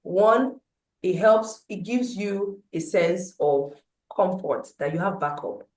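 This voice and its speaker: Loud low-voiced woman telling a story. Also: slow. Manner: animated storytelling with big swings in pitch and loudness. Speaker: motivational speaker. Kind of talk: storytelling talk at a breakfast meeting. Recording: roomy echo.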